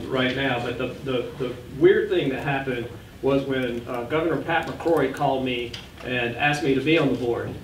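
Echoey, halting man of about sixty. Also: medium pitch. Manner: halting, frequent self-corrections. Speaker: education board member presenting. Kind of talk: informal public presentation to a board meeting. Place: indoor meeting room. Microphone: standing back from podium gooseneck microphone. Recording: echoey room, distant mic, faint noise.

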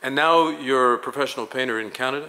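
Male interviewer, bright tone, mid pitch, polished, leading questions. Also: fast talker, rough recording. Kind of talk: promotional interview.

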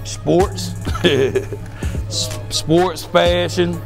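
A man with a high, gravelly voice chats in an emphatic, chummy way. Music is clearly audible behind him. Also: drawn-out words. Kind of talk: casual interview chat.

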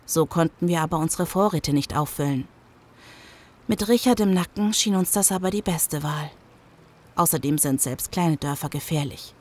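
A woman's voice: sits low, darkish tone. A woman playing a weary, level-headed survivor of a zombie apocalypse in an audio drama. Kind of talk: audio drama acting and narration.

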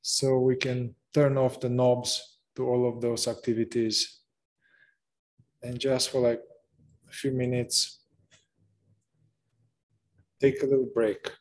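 A man leading a guided meditation, speaking gently in short phrases with long pauses between them. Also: mid-range voice, level tone. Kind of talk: slow guided meditation narration.

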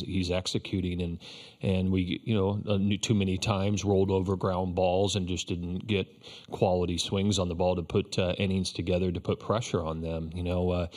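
A low-voiced man in his fifties, a baseball coach, takes reporters' questions at a postgame press conference. He speaks in a flat, even line, measured and reflective, often restarting with "you know." His voice comes through a table microphone in an indoor press room.

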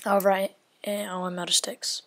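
A woman's voice: medium pitch, level tone, brisk, background noise. A woman giving casual gameplay commentary, thinking aloud in a rambling way and often dropping to a whisper. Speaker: gaming video creator.

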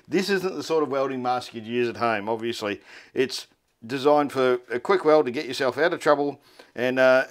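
A man with an Australian accent and a mid-pitched voice narrates a practical tutorial. He explains things informally, step by step. His wording is colloquial and his way of talking is laid-back. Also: fairly bright tone. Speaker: presenter of a four-wheel-drive repair tutorial.

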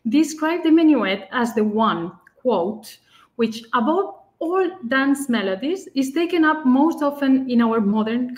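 A woman's voice: on the high side, a little mellow. Woman reading a lecture over video call. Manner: careful, measured delivery with occasional restarts. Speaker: fortepianist presenter. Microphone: webcam on a video call.

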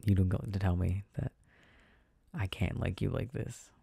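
A man performs an affectionate, gently teasing boyfriend for an ASMR roleplay. His voice is very dark and relaxed, with a gravelly creak and a husky softness. He talks intimately and haltingly, as if reassuring someone he adores. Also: sits low, no echo, clean studio sound, full character voice. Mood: earnest, firm tenderness. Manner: soft, intimate, halting, chuckling delivery.

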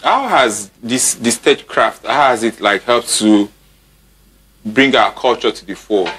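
A man's voice: medium pitch, lively intonation, measured pace, fairly bright tone, a little hiss or hum. A man in his thirties with a Nigerian accent, hosting a conversational television interview. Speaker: TV show host. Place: TV studio.

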